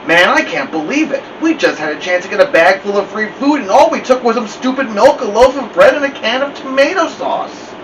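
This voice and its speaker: Indignant teenage big brother acted by a man, slangy storybook dialogue, highish voice, loud background hiss. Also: lively intonation, a little fast, hardly any pauses. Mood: gleeful. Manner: whiny, slangy protesting and bossing. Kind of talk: storybook read-aloud dialogue. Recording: muffled lo-fi, distant mic, loud hiss.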